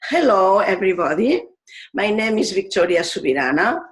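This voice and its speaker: A woman in her fifties from Spain with a Spanish accent, a teacher explaining ideas in a thoughtful way, pausing often with fillers. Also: medium pitch, very animated. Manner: thoughtful, pauses with many fillers. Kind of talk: explanatory talk on pedagogy.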